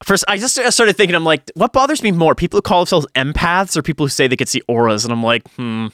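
A man chatting casually as a podcast co-host, joking in an animated way and swearing freely. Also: fairly high pitch, fast talker, no echo, studio quality. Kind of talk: casual chat.

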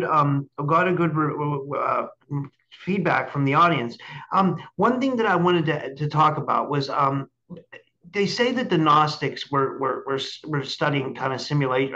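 Rambling male podcast host, slightly higher voice. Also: bright, forceful tone. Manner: rambling, repeats words. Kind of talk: casual interview chat. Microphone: remote video call. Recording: dull lo-fi call audio.

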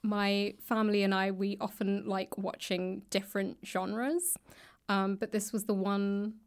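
British woman chatting, mid-pitched voice. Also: level tone, a little slow. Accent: British English. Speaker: guest, author and actor. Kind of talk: casual interview chat. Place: indoors in a public library room.

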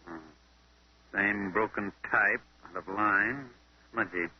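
An actor playing a shrewd, composed detective in a 1930s radio mystery, speaking politely and measuredly, on an old broadcast recording. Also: male voice, on the low side, dull audio, slight background noise. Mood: businesslike. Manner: measured, probing.

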